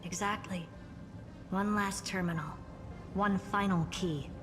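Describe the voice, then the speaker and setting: A woman's voice: mid-range voice, fairly even intonation, takes it slowly, close to an everyday voice. A woman voicing a sardonic genius scientist. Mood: wry. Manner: dry, incisive, wry. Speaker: game character. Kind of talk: video game voice acting. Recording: game audio with long reverb. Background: game music.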